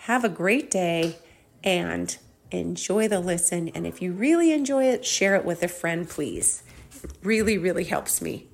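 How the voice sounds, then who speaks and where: An older woman hosts her podcast with a gravelly edge to her voice. She sounds effusive and thankful as she welcomes her audience and her guest. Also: on the low side, lively intonation, unhurried. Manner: warm, grateful, effusive. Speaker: podcast host. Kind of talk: podcast interview and intro.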